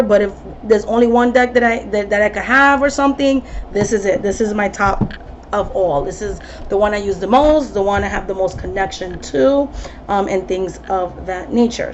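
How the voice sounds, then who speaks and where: A woman's voice: medium pitch, slight background noise. Chatty tarot lady in her 30s, talks loose with ums, kinda dull lo-fi audio. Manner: chatty, many ums and restarts. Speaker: tarot reader and psychic. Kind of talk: casual chat to camera. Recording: slightly dull recording with faint ambience.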